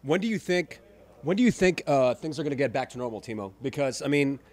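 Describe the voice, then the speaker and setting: A man's voice: mid-range voice, lively intonation, fast, darkish tone. Mexican-accented man in his 30s, chatty podcast host, loose filler-packed questions. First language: Spanish. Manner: rambling questions with many fillers. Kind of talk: casual interview. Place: outdoor patio at night. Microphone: studio mic on stand.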